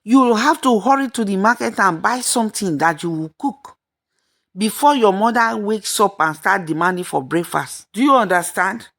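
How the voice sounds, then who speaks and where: A woman's voice: medium pitch, animated, moderate pace, fairly bright tone, pitched above the performer's own voice. A woman voicing a bossy, scheming young wife who gives impatient orders in a folktale. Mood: commanding. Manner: imperious, impatient commands.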